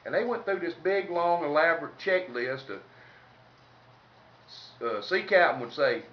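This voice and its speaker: A Southern American man tells funny stories in a colloquial, country manner. His voice sits slightly high. The recording is distant and somewhat dull, with a faint noise under it. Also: animated, measured pace, roomy sound. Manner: folksy, colloquial, salty. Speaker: comedic storyteller. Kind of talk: informal humorous storytelling.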